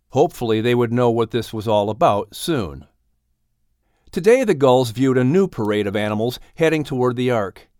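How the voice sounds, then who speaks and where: A man reading a children's audiobook story as a scripted, expressive narrator. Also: medium pitch, dry recording, studio quality.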